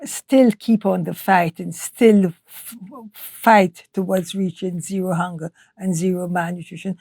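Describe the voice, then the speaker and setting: Slow-speaking older woman from Trinidad. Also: medium pitch, gravelly, mellow, breathy edge, no echo. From Trinidad and Tobago. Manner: measured, with frequent restarts. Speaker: guest, nutrition scientist. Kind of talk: podcast interview.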